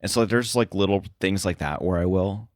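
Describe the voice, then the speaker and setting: Low-pitched man, halting casual talk. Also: a little mellow, no echo, clean studio sound. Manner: halting, informal, filler-heavy phrasing. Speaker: interview guest, sound editor. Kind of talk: casual chat.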